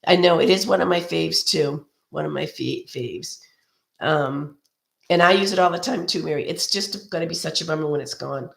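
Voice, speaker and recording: A hoarse woman hosting a chatty live card-making tutorial, rambling in a friendly way with many ums and restarts as she greets viewers by name. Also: low-pitched, normal speed. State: hoarse from a cold. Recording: roomy echo.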